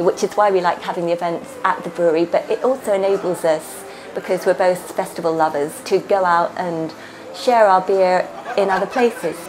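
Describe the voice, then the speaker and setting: Woman, enthusiastic, chatty, brewery owner, low voice, music under voice. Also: low-quality recording. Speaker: brewery co-founder. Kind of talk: voice-over interview.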